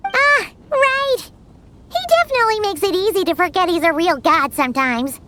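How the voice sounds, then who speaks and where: A woman voicing a tiny, excitable and blunt female companion creature in a game. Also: very high-pitched, moderate pace, slight background noise. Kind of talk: game voice acting. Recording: game audio with music behind.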